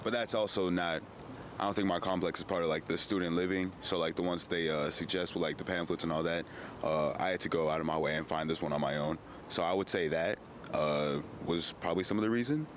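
A man's voice: deep voice, brisk. An eighteen-year-old male film student answering casually and hesitantly, full of uh and like, into a handheld mic outdoors. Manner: hesitant, lots of uh and like. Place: outdoors on campus. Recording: outdoor recording with clear background noise.

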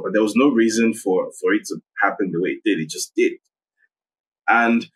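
A man, a guest recounting a personal story in a measured, reflective way. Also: lowish voice, low-quality recording. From Nigeria. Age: 30s. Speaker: guest, investigative journalist. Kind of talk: personal account in interview.

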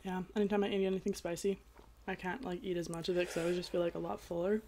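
A young woman guest chatting casually while she eats, with a naturally loud voice and lots of "like", seated on a couch at home. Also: fairly low pitch, flat delivery, rapid-fire, a little hiss or hum. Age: young adult. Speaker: guest, host's sister. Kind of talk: casual chat while eating. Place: living room couch, indoors.

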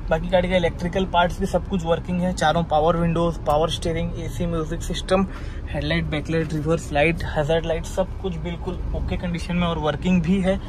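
A man, a used car dealer filming a walkaround of a car for sale and briskly listing its features and price. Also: a little high, flat delivery. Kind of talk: car walkaround and sales pitch. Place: outdoor car lot, then inside a car on the road. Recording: outdoor noise and traffic.

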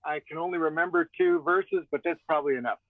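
A man's voice: on the high side, fast, gravelly, dry recording, phone-quality sound. An older man chatting casually as a songwriter at an online open mic, on a thin video call from inside a van. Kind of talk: casual chat. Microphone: video call device.

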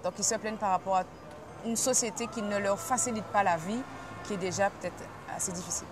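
A woman's voice: mid-range voice, steady tone, quick pace. A woman in her thirties gives an interview in a large exhibition hall. She speaks earnestly and hesitantly, with many fillers, into a lapel mic. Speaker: project manager, interviewee. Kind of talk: on-camera interview. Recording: noisy fair hall. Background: crowd noise of a trade fair.

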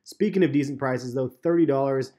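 A man in his thirties giving a relaxed, chatty sneaker review in a vlog, with plenty of ums and uhs. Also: medium pitch, fairly quick. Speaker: sneaker vlogger. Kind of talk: casual vlog commentary. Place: parked car, and voice-over on store footage.

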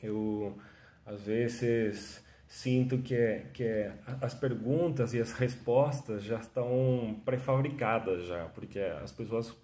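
Venezuelan man with a mellow, roomy voice. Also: medium pitch, takes it slowly. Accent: Venezuelan Spanish. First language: Spanish. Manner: chatty, restarts often, frequent tag questions. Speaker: freelance artist telling his story. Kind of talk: casual self-interview monologue. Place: small room at home, indoors. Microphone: phone camera at arm's length. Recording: echoey room.

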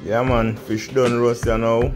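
A man's voice: mid-range voice, little pitch movement, a little slow, over music. A man with a Jamaican accent chatting casually in Patois as he hosts an outdoor vlog, with a laid-back manner. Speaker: vlog host filming a beach cookout. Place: outdoors on an open sandy beach. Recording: very noisy, windy outdoor action-camera sound.

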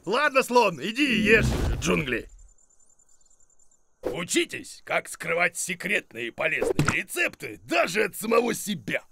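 Pompous silly cartoon creature, gravelly, high-pitched, blustering, boastful, muttering. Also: male voice, noisy background, full character voice. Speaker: main character of children's cartoon. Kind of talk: animated cartoon acting.

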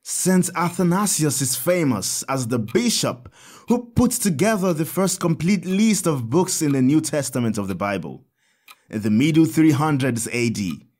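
An actor playing a kindly priest in an audio drama, telling saints' stories in a measured, expressive, instructive way. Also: male voice, on the high side. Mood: earnest, explanatory. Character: kindly priest, Father Tad, teaching children about saints.

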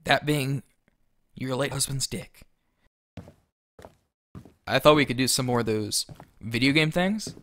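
A man's voice: medium pitch, a little slow, long pauses, fairly bright tone, a little hiss or hum, natural voice. A man playing an awkward, crude teenage boy in an audio drama, rambling and hesitant. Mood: awkward, curious. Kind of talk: podcast audio drama acting.

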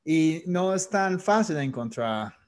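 A man's voice: fairly high pitch, very animated, slow. A man of about forty from Australia, a native English speaker with an Australian accent, talking casually and hesitantly as an interview guest. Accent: Australian-accented Spanish. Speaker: podcast guest, author and sales consultant. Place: indoor home office, on a video call. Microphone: desktop studio microphone close to the mouth.

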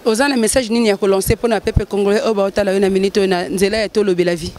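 A woman interviewer asks brisk, prompting questions in a street interview. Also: mid-range voice, steady tone, darkish tone. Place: outdoors at night in a lit pedestrian square. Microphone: handheld mic.

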